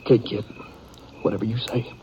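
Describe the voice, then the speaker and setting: An actor playing an outlaw brother who follows along, in a 1950s radio western, with an American accent. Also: male voice, lowish voice, level tone, brisk, lightly acted. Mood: uneasy, resigned. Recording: muffled, noisy 1950s radio recording.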